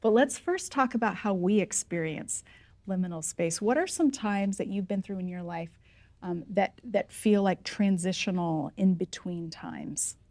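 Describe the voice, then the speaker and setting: A woman's voice: medium pitch, unhurried, a little mellow, dry recording. A woman leading a church class discussion, asking questions and affirming answers in a casual way. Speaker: class leader.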